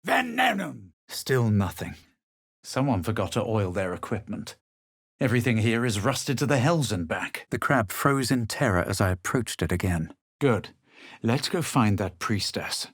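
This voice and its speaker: Husky, haughty fantasy adventurer hero. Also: male voice, lowish voice, sing-song, measured pace, no echo, clean studio sound, full character voice. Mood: forceful incantation. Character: male adventurer hero, self-assured, haughty, brooding spellcaster. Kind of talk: fantasy video game voice lines, combat barks and narrated recollections.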